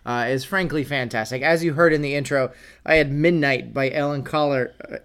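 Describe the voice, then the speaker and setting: A man's voice: medium pitch, fairly even intonation, a bit bright, smooth. A man co-hosting a podcast with his wife. He chats loosely and rambles through his jokes. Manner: joking, rambling, quick asides. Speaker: podcast co-host. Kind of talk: casual chat.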